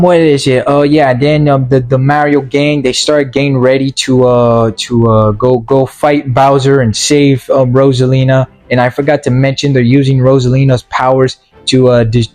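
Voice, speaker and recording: A man giving a casual, rambling film review in slangy, informal language, halting and repeating words, with sarcastic asides. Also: mid-range voice, darkish tone. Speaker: movie reviewer.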